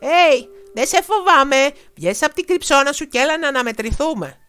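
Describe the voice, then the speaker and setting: A woman voicing a brave little boy woodcutter, calling out high and loud. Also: lively intonation. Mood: defiant. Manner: high and shouting. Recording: soft music underneath.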